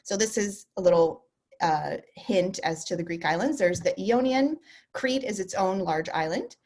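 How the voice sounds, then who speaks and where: A woman's voice: mid-range voice, moderate pace, darkish tone. A woman who co-founded a travel company gives a casual presentation on a video call, talking in a chatty way with frequent ums and restarts. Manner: chatty, lots of ums and restarts, laughs easily.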